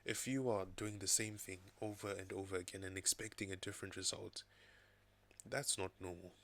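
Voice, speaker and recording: A man with a low, gravelly voice, rough and raspy while he gets over an illness. He talks in an even, level tone, thinking aloud at his desk in a loose, casual way. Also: normal speed. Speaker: vlogger. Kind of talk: casual talking-head vlog. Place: home desk with laptop and second screen.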